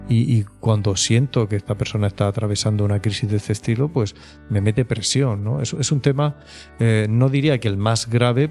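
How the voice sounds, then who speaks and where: A man with a Spanish accent from Spain talks in a low, gravelly voice with a mellow tone. He explains things conversationally, like an expert being interviewed, and keeps checking that the listener follows. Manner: measured, explanatory, often seeking agreement with tag questions. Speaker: clinical psychologist, interview guest.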